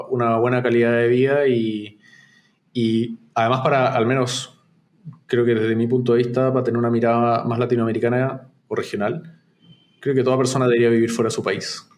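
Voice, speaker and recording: A man from Chile with a softened Chilean accent, a startup founder talking reflectively as an interview guest, with many restarts. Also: medium pitch. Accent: Chilean, softened toward neutral Spanish. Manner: reflective, frequent restarts and fillers. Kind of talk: casual interview conversation.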